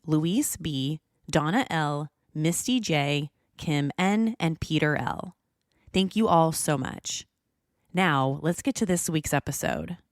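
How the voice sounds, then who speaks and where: An American woman hosting a true crime podcast, narrating from a script in a measured, serious storytelling manner. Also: low-pitched, no room sound, studio quality.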